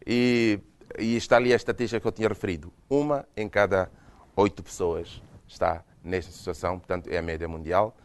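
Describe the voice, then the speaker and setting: A man's voice: low-pitched, fairly even intonation, a little fast, clear, resonant tone. A man from Mozambique with a Mozambican Portuguese accent, giving a university lecture with slides and speaking hesitantly, with frequent restarts. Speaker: guest lecturer. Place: lecture hall.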